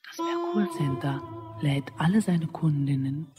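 A woman's voice: low-pitched, wildly swooping pitch, a little slow, mellow, soft background music, heavily acted. An actress playing a female shopping centre announcer delivering a promotional message in a radio drama.

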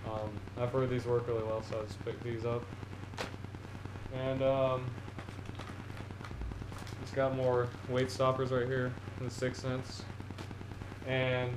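A man's voice: mid-range voice, slow pace, pauses often. A teenage boy showing off fishing gear in a casual haul video, rambling with many ums and restarts, seated on a couch in front of a camera on the floor. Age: teens. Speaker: fishing vlogger. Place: small indoor room, seated on a couch. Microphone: camera on the floor in front of him. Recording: echoey room with a steady noise floor.

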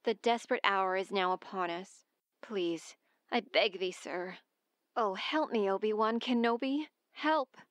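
A woman's voice: mid-range voice, unhurried, dry recording, close to an everyday voice. A woman playing a noble young princess, formal and earnestly pleading, in a Shakespearean dramatic reading.

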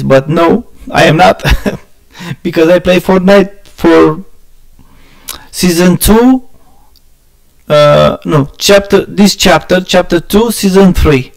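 A man from Romania with a Romanian accent chatting casually with viewers on a game stream, halting and searching for words, often laughing at himself. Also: fairly high pitch, vocal fry. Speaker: gaming streamer.

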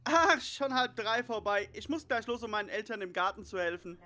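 A man's voice: high voice, lively intonation, a little fast, bright, forceful tone, full character voice. A man playing a prissy, nerdy, know-it-all teenage boy in a parody audio play. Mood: earnest.

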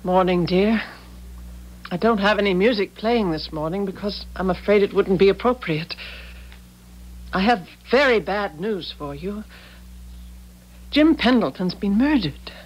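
An American actress in a 1970s radio drama playing a gentle, sympathetic, earnest wife with a husky voice. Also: female voice, medium pitch, expressive, a little slow, pauses often, low-quality recording. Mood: grave, saddened.

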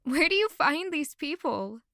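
A woman's voice: high voice, sing-song, unhurried, pushed and bright, no room sound, studio quality, heavily acted. A woman playing a sweet, curious young noble lady in an audio drama, polite and inquisitive.

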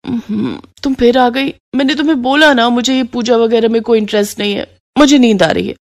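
A woman's voice: a little high, steady tone, brisk, lightly acted. A woman voicing a lazy, greedy young daughter-in-law in a cartoon story. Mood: sleepy, irritated.

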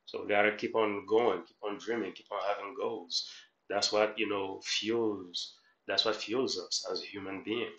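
A man's voice: on the low side, steady tone, moderate pace, a bit bright, a little hiss or hum. A middle-aged man from Haiti giving motivational commentary as a life coach, instructive and repetitive, often checking in with the listener. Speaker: life coach, reaction host. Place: small room at home, indoors. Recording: roomy echo.

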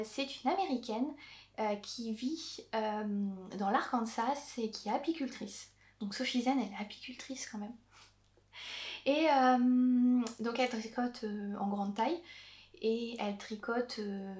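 A woman in her thirties chats to a camera on her desk, her voice sitting a little high. She keeps pausing on filler sounds and laughing as she goes. The small room around her adds a clear echo. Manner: chatty, many filler "euh" pauses, laughs often. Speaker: knitting podcaster. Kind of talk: casual vlog chat. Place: indoor craft room with yarn shelves. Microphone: camera on a desk, framed at chest. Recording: echoey room.

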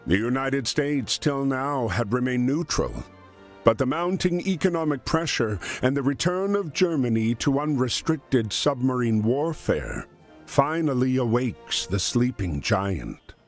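A man narrating a wartime history game in a solemn, measured, dramatic storytelling style. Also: medium pitch, big pitch swings. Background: music.

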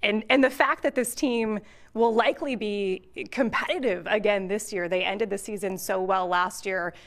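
A woman's voice: mid-range voice, steady tone, moderate pace. A woman in her thirties, a field reporter speaking upbeat and chatty into a handheld mic in a hotel room. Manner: upbeat, chatty, laughs easily. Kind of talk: live TV news report. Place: hotel convention room, indoors. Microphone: handheld news mic.

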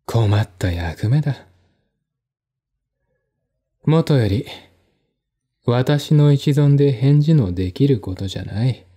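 A man giving a measured, deliberate literary reading of a mystery novel as a narrator. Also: medium pitch, lively intonation, dark tone, breathy edge. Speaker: audiobook narrator.

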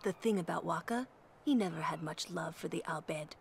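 A woman playing a cool, stern mage in a video game, speaking in a measured, firm way. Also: deep voice, gravelly, a little hiss or hum. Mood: stern warning. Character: stern, composed, cool adult female mage.

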